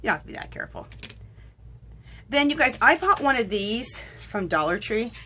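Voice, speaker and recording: A woman's voice: fairly high pitch, very animated, moderate pace, pushed and bright, a little hiss or hum. Chatty woman with a cold, crafting. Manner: chatty, rambling, self-correcting. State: fighting a cold, sniffling. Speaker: crafting vlogger. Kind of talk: casual tutorial chat. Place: home craft table, indoors.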